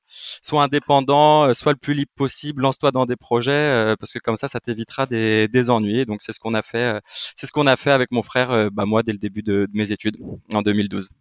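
A man's voice: medium pitch, clear, resonant tone, dry recording, studio quality. A French man in his twenties, a company co-founder, chatting casually as a guest with lots of fillers and restarts. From France. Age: 20s. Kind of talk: casual business interview.

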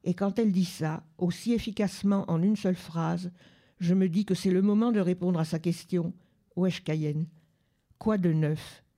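Woman reader, deep-toned and mellow, measured literary reading. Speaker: reader at a book club radio show. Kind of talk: reading a literary excerpt aloud. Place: public library.

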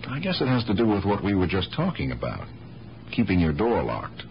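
A low-voiced, very animated police detective in a seventies radio drama. He sounds like an earnest, protective nephew, exasperated and instructive. The old recording is slightly dull. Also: a little fast, a little hiss or hum, exaggerated voice. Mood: grave. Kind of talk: 1970s radio drama acting.

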